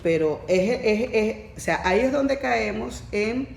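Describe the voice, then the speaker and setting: A woman with a strongly gravelly, creaky voice explains her points patiently in a podcast discussion and puts pointed questions to the others. The room is echoey, with a faint hiss underneath. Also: a little high, animated, measured pace. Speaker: neurodiversity course facilitator.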